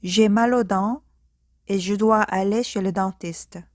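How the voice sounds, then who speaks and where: Woman, language teacher, slow careful drill, phrase-by-phrase repetition, mid pitch, dry close recording. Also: fairly even intonation, a little mellow, dry recording. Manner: slow, careful, repeats each sentence phrase by phrase. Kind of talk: slow speaking-practice drill.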